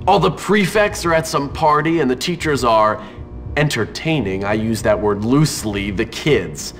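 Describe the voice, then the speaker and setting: A man's voice: medium pitch, expressive, moderate pace, slight background noise, close to an everyday voice. A man voicing a scheming teenage schoolboy in a video game, with a sneering, theatrical, sarcastic manner. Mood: sardonic. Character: scheming, mocking teenage schoolboy with big ambitions. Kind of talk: video game cutscene acting.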